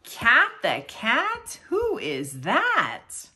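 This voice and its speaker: A woman reading children's picture books aloud in an expressive storytelling style. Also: fairly high pitch, extremely slow, a little hiss or hum. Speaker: children's book reader.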